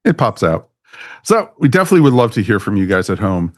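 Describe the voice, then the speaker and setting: A man's voice: fairly low pitch, big pitch swings, quick pace, dry recording, clean studio sound. A man hosting a podcast in casual chat, rambling along with a fan's enthusiasm. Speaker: podcast host.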